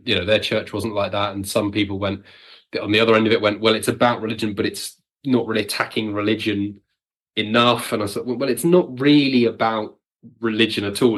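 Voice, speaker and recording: A man talking casually as a guest writer in an interview, telling stories wryly and acting out people's reactions. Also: fairly low pitch. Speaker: audio drama writer, podcast guest.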